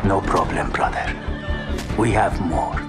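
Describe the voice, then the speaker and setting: Slow, deep menacing militant. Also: male voice. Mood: menacing calm. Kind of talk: video game cutscene acting. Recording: loud music behind.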